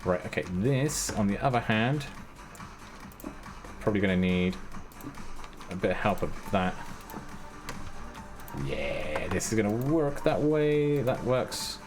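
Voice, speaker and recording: A man's voice: on the low side, lively intonation, slow, pauses often. A man streaming a building game, muttering casually step by step to himself with self-mocking asides. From Britain. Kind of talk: live-stream gameplay commentary. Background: his own background music.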